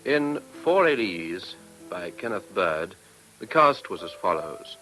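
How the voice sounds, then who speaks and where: A man announces in formal scripted style over faint music. His voice is bright and crisp in attack, and he reads very slowly, as an old-fashioned radio announcer does. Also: deep voice, very animated, low-quality recording.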